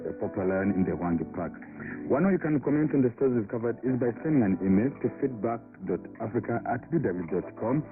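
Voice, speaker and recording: A man reading scripted news as a radio presenter and reporter. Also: lowish voice. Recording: muffled, narrow-band shortwave radio broadcast.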